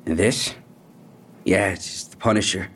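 A man's voice: sits low, unhurried, fairly bright tone, slightly rough, exaggerated voice. An actor in an audio drama playing a defensive young male street robber, talking in clipped slang. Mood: guarded. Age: young adult. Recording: music bed behind the voice.

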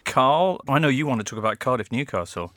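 A man's voice: medium pitch, brisk, no echo, studio quality. A man hosting a football podcast, playful and punning as he keeps the chat moving. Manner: playful, punning, lively presenting. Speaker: podcast host.

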